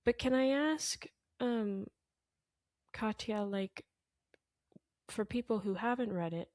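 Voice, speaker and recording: A woman hosting a podcast, gently asking her guest questions with hesitant fillers. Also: a little high, takes it slowly, dry recording, clean studio sound. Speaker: podcast host. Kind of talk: interview conversation.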